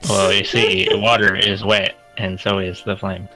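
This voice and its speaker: A man's voice: sits low, flat delivery, unhurried, over music, poor audio. A man making casual, joking comments as he listens to a story.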